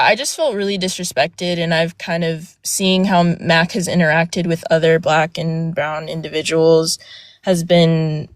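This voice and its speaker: A young woman with a soft, fairly low voice recounts her experience in a hesitant, filler-heavy way. The sound is dry and close, with no room around it. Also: no room sound. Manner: hesitant, many fillers and restarts. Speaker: guest speaker on a live panel. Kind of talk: casual personal account. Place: outdoors near a road.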